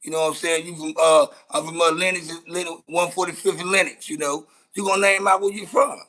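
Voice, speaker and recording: A man's voice: a little high, flat delivery, a little fast, sharp tone, rough recording. An American man giving casual livestream commentary, with a blunt, slangy, repetitive way of talking. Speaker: content creator reacting to news clips.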